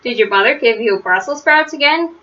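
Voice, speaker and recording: A woman's voice: on the high side, expressive, fairly quick, sharp tone, lo-fi sound. A woman voicing a young bear in a storybook, a blunt, teasing friend. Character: young male bear, frank, matter-of-fact friend.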